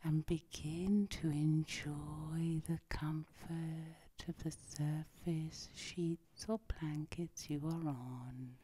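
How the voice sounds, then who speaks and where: Soft whispery woman doing asmr sleep hypnosis, super mellow, real slow and soothing. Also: low-pitched, fairly even intonation, slightly rough. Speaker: hypnotherapist, channel host.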